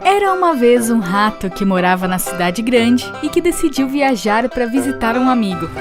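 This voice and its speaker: A woman's voice: fairly high pitch, lively intonation, moderate pace. A woman with a Brazilian accent reading a scripted children's fable as the storyteller. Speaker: storyteller narrator. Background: music.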